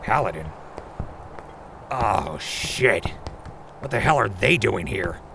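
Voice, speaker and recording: A man's voice: fairly low pitch, lively intonation, slow, gravelly, a bit bright, slight background noise, heavily acted. A man playing a wry, plain-spoken ghoul companion in a post-apocalyptic audio drama. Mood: wry, uneasy. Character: wry, foul-mouthed older ghoul companion.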